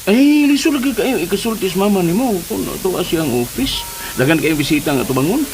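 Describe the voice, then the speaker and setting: An actor playing a leering, flustered older Filipino uncle in a radio drama, stammering, hemming and exclaiming. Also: male voice, high voice, sing-song, fairly quick, darkish tone, a little hiss or hum, rough recording. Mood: flustered, eager.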